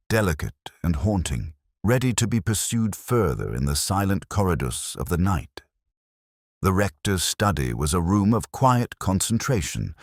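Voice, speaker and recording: A man reading a scripted first-person detective story in a measured, formal, literary manner. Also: low-pitched, no room sound, clean studio sound. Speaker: narrator.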